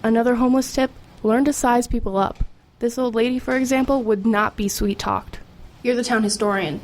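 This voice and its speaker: Radio drama lead: woman as teenage girl, slightly high voice, streetwise, dry humor, matter-of-fact first-person storyteller. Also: fairly even intonation, a little fast, lightly acted. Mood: wry, confiding. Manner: wry, confiding, matter-of-fact. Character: streetwise, wry, formerly homeless teenage girl.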